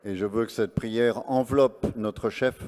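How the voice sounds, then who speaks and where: A man's voice: medium pitch, fairly even intonation, unhurried, darkish tone, slight background noise. A man presenting and interpreting live at an event on stage, speaking earnestly phrase by phrase. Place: large event hall on stage. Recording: long reverb of a large hall.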